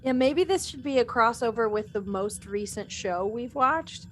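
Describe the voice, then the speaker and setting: A woman's voice: a little high, moderate pace, a bit bright. Woman, middle-aged, audio drama cast member. Giggly, self-deprecating, informal chat, faintly noisy recording. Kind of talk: casual panel chat.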